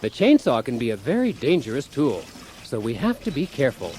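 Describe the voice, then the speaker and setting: A man's voice: mid-range voice, a little fast, a little hiss or hum. A man playing a chipper, unflappable husband who hosts a comic do-it-yourself radio show in a cheerfully formal instructional way. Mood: chipper, instructive. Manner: cheerfully formal instructional delivery, calm amid disasters. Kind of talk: radio comedy sketch acting, parody how-to narration.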